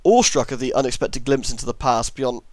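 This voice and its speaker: Male amateur narrator, mid-pitched, horror story reading, dry sound, occasional stumbles on long words. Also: quick pace, darkish tone, no room sound. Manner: reads with stumbles over long words, self-correcting.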